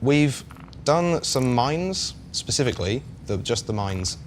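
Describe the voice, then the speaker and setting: A man's voice: medium pitch, expressive, slow pace, a little hiss or hum. A man working as a game developer, casually answering questions with technical explanations.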